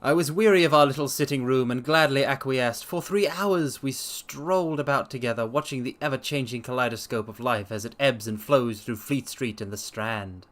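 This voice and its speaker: A man streaming a dramatic story reading, with theatrical, expressive delivery and casual asides. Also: mid-range voice, normal speed, few pauses. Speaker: streamer and reader.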